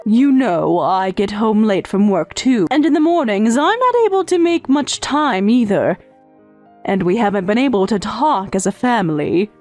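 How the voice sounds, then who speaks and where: A woman's voice: mid-range voice, animated, normal speed, darkish tone, soft background music, natural voice. A woman playing a caring, observant working mother in an anime-style romantic comedy dub. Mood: concerned.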